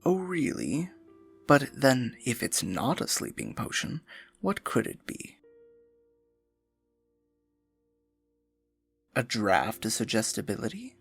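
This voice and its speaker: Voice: medium pitch, very animated, unhurried, vocal fry, breathy edge, faint music behind, heavily acted. An actor voicing a scheming evil royal advisor with magic, speaking in a silky, mocking, condescending way for an ASMR fantasy roleplay. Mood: smug feigned innocence. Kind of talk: ASMR fantasy roleplay, intimate theatrical monologue.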